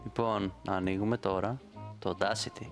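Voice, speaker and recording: A man's voice: sits low, steady tone, creaky, music in the background. A man giving a casual, rambling, playful screen-recorded tutorial.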